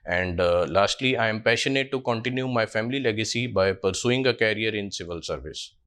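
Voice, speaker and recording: A man's voice: lowish voice, brisk, fairly bright tone. A man in his twenties with a Pakistani English accent, answering a job interview panel hesitantly with many fillers and restarts. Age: 20s. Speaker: job candidate.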